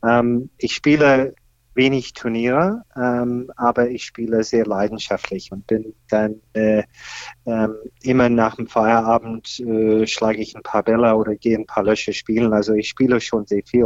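A man's voice: fairly low pitch, flat delivery, a little mellow, no room sound, lo-fi sound. Hesitant man in his fifties. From Australia. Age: 50s. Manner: hesitant, many fillers and pauses. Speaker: golf teacher and senior champion guest. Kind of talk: podcast interview.

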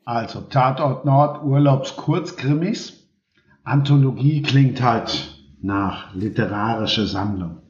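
A man's voice: medium pitch, slow. A man hosting a casual podcast chat in a blunt, informal way. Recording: dull, roomy, echoing sound.

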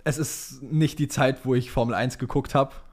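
A man's voice: mid-range voice, steady tone, normal speed. A young man chatting casually as a podcast co-host, with slangy, sometimes crude wording. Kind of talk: casual chat about Formula One.

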